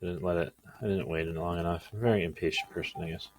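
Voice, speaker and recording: A man's voice: deep voice, fairly even intonation, quick pace, slight background noise. A man casually rambling through a product demo as a video host, talking to himself and joking at his own expense in a goofy way. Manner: goofy, self-deprecating joking; talks to himself while working. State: tired.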